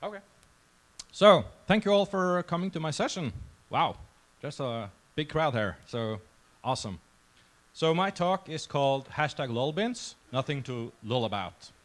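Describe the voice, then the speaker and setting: A man's voice: fairly high pitch, very animated, measured pace. Norwegian man giving a casual talk. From Norway. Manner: informal, conversational, self-deprecating. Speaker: conference speaker. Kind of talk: casual conference presentation. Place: conference session room before a crowd.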